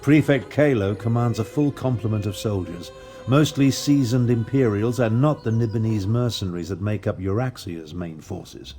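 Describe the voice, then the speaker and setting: Man acting composed male agent, very mellow dark tone, formal and measured, fantasy game briefing, faint music. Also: on the low side, natural voice. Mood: grave, cautious. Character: composed, principled male covert operative briefing an ally. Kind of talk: fantasy video game dialogue.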